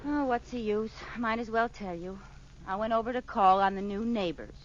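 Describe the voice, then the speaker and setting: An actress playing a scatterbrained, wheedling housewife in an old radio sitcom, coy and breezily evasive with comic timing. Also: female voice, fairly high pitch, moderate pace, close to an everyday voice. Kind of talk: 1950s radio sitcom acting. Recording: muffled, hissy old radio recording.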